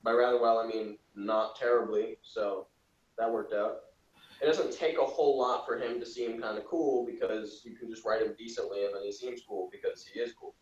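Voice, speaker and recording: A man's voice: on the low side, fairly even intonation, moderate pace. A man giving blunt, dry opinions in a casual group discussion as a review panelist. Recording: distant, roomy, dull recording with faint hiss.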